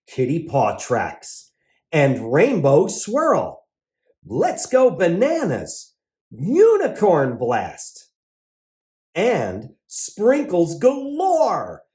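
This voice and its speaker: Highly animated man reading to children. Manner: exuberant, exclamatory, drawn-out emphasis. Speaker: read-aloud host, children's entertainer. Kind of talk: animated children's story read-aloud.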